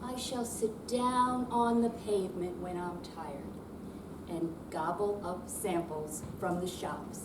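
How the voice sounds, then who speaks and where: A woman's voice: on the low side, slow pace. An older woman giving a dramatic amateur reading of humorous verse at a live readers theater performance. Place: indoor senior center room, live performance. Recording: roomy, distant mic, noisy hall.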